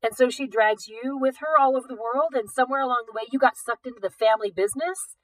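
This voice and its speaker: A woman's voice: high voice, fast talker, dry recording, voice pushed up, natural voice, poor audio. A woman voicing a determined, suspicious teenage girl thief in an audiobook, pointed and teasing. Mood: wry, accusing.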